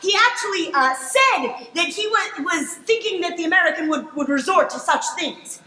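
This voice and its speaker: A woman's voice: very high voice, animated, normal speed, bright, forceful tone, full character voice. A woman playing a chatty, mock-earnest sports co-commentator in improvised comedy. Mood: chatty, amused. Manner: mock-serious, conversational announcing. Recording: distant, echoey live stage with audience.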